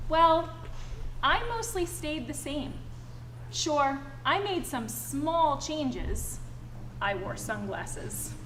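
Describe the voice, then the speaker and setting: A woman educator reading aloud and chatting with children in an animated, encouraging way. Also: sits high, drawn-out words. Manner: encouraging, addresses children with endearments. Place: indoor school family event, live audience. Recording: echoey room with background noise.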